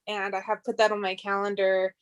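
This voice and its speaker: A woman with a mid-pitched voice speaks as a school board member at a public meeting. Her delivery is earnest and measured, like someone addressing colleagues on the record. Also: little pitch movement, clear, resonant tone, muffled recording. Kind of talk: prepared remarks at a public meeting.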